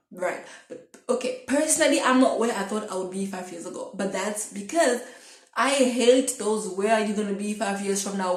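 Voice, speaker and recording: A woman chats casually and confessionally, rambling and thinking aloud as she questions herself. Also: fairly high pitch. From Zimbabwe. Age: twenties. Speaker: video blogger. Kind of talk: casual confessional chat to camera. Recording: echoey room.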